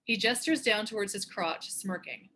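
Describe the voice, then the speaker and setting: A woman's voice: mid-range voice, normal speed, pushed and bright. A woman narrating the stage directions of a screenplay in a scripted read.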